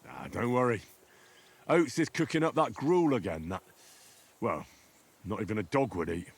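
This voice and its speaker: A dry-witted young squire. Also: male voice, fairly low pitch, animated, normal speed, husky. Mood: wry. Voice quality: dry, understated. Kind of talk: game cutscene acting.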